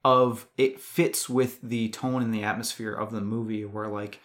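A man's voice: lowish voice, steady tone, normal speed, a bit bright. A man co-hosting a podcast, talking casually and analytically about film and swearing freely. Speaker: podcast co-host. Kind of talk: casual film discussion chat.

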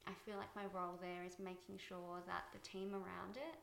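Soft-voiced woman, bright and gravelly. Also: fairly low pitch, level tone, normal speed, roomy sound. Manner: thoughtful, many fillers and restarts. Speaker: guest, TV director. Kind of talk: reflective interview talk.

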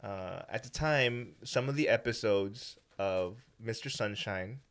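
A man hosting a podcast, telling stories in casual chat with a lot of swearing and animated energy. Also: lowish voice, a little slow. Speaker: podcast host. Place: indoor studio room.

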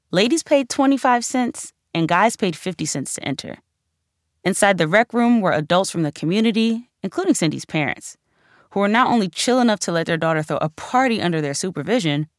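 A woman's voice: medium pitch, very animated, brisk, no echo. A woman reading her own nonfiction audiobook in a conversational, emphatic storytelling manner, close on the microphone. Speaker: author narrating own audiobook. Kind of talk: scripted audiobook narration.

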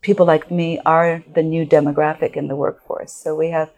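A middle-aged woman from Japan with a low voice, giving long answers as a guest expert in an interview. She explains patiently, restarting phrases and checking in with "you know" as she builds her point. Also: level tone, moderate pace, a little mellow. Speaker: guest expert on organizational culture.

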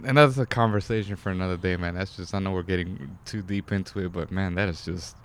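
A man with a deep voice hosting a casual podcast chat, excitable and loose, swearing now and then. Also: quick pace, a little hiss or hum. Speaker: podcast host.

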